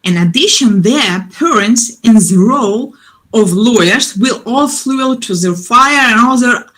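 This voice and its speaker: A woman's voice: on the high side, lively intonation, fairly bright tone, low-quality recording. Hesitant woman giving a conference talk. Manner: halting, with frequent restarts and fillers. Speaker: conference speaker.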